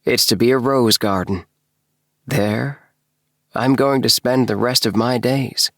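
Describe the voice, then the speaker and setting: A man voicing an eager, excitable house-obsessed gentleman with a breathless, effusive tenor in a storybook reading. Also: medium pitch, takes it slowly, no echo, clean studio sound, pitched above the performer's own voice. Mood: gleeful, relieved. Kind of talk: storybook character dialogue.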